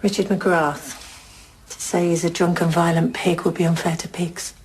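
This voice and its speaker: A woman's voice: fairly low pitch, flat delivery, moderate pace, a little hiss or hum, close to an everyday voice, rough recording. A woman playing a caring but indignant middle-aged mother in an audio drama.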